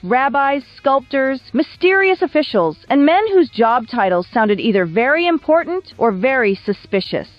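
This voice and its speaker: Casting: female video essay narrator, fairly high, very expressive, polished and wry, dry dull recording with music underneath. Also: no echo. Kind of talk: scripted documentary narration.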